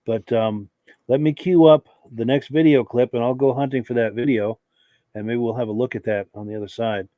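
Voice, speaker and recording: A man hosting a live podcast who has a cold and sounds hoarse, reading out viewer comments with short, easygoing reactions. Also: mid-range voice, brisk, no room sound, narrow, tinny audio. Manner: relaxed, reads comments aloud with brief reactions. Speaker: podcast host.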